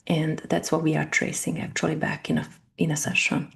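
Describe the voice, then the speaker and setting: A woman from Hungary with a Hungarian accent, speaking earnestly as an interview guest, her phrasing a little halting. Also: sits low, completely flat delivery, gravelly. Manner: earnest, slightly halting non-native phrasing. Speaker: guest, family constellation practitioner. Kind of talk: interview conversation.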